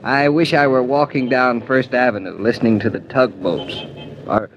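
An actor playing a gentle, reassuring young husband with a tender, slightly hesitant manner in a 1940s radio drama. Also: male voice, medium pitch, moderate pace, close to an everyday voice. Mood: wistful, reassuring. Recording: muffled 1940s radio recording, faint noise.

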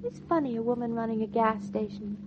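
Through a muffled 1940s radio recording comes an actress playing an affectionate young wife in a domestic drama. Her voice sits fairly high, and she talks in a chatty, playful, gently insistent way over clear background noise. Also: brisk, close to an everyday voice. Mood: teasing, suspicious.

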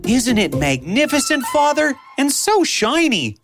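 Woman voicing an excitable, swooping young prince. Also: sits high, a little slow, music in the background. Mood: excited pride. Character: eager, proud nineteen-year-old prince. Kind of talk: children's bedtime story drama.

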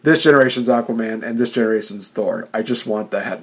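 A man hosting a podcast, chatting casually, excitable and laughing often, with frequent restarts. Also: lowish voice, brisk, husky. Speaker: podcast host. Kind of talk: casual chat.

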